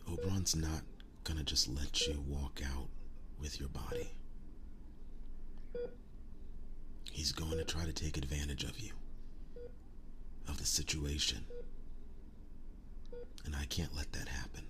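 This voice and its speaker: A man playing a protective, world-weary young werewolf boyfriend in an intimate ASMR roleplay, speaking in a breathy, halting hush. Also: steady tone, background noise. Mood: determined, grave. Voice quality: breathy, hushed, very low voice. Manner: halting, slow, soft-spoken with long pauses.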